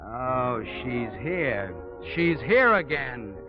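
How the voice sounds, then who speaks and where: An American actor in 1940s radio drama playing a gruff, sarcastic theater man with an impatient, barking manner. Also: mid-range voice, sing-song, extremely slow. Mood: flippant, defensive. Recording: dull radio recording, music behind.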